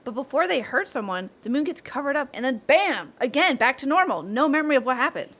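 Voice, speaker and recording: A woman playing an excitable, hungry young wolf girl in a comedy audio roleplay, animated and exclamatory in slangy talk. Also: high-pitched, quick pace, exaggerated voice. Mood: excited.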